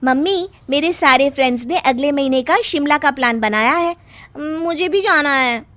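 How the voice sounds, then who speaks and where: A woman's voice: high-pitched, moderate pace, pushed and bright, no room sound, voice pushed up. A woman voicing a carefree, chirpy college-age daughter in a cartoon story. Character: carefree teenage college girl, pampered daughter.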